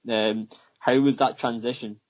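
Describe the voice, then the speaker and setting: A man's voice: mid-range voice, steady tone, unhurried, lo-fi sound. Man from Scotland asking interview questions. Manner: stumbling, repeats words.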